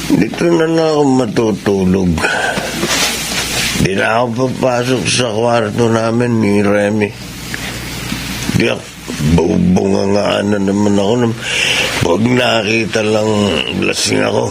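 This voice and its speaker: A Filipino actor playing a hot-tempered, evasive older brother who drinks hard, in a radio drama. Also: male voice, lowish voice, creaky. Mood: drunk, drowsy. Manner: halting, defensive, blustering. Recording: noisy lo-fi radio drama recording.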